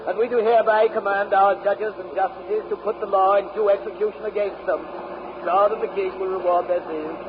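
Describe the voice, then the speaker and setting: A man's voice: high voice, fairly even intonation, a little fast, natural voice. A man acting a stern, haughty royal official in a formal, declamatory 1930s radio drama. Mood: cold, commanding. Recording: muffled, noisy 1930s radio recording.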